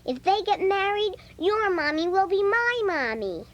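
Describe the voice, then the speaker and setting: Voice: extremely high pitch, very animated, a little slow, fairly bright tone, dull audio, exaggerated voice. A performer playing a chatty, candid five-year-old girl in an audio drama, childlike and eager. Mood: curious, candid.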